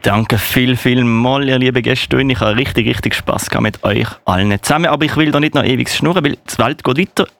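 A man's voice: mid-range voice, a little fast, creaky, hardly any pauses, fairly bright tone, no echo. A man with a Swiss German accent hosting a live radio show in a casual, chatty style. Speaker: radio host.

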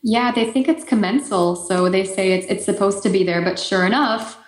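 A woman's voice: medium pitch, moderate pace, echoey room. A woman with an Eastern European accent, a native Polish speaker, answering interview questions in an explanatory way with frequent fillers and restarts. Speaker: guest expert.

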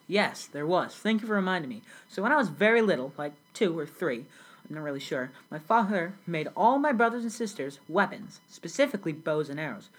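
A teenage girl plays a grown immigrant looking back on a village childhood, speaking low for her age with a husky, breathy edge. The telling is earnest and steady, read from a script. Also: a little fast, poor audio. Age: young teen. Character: grown immigrant recalling a South Sudan childhood. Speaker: student playing the interviewee. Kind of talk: school project role-play interview.

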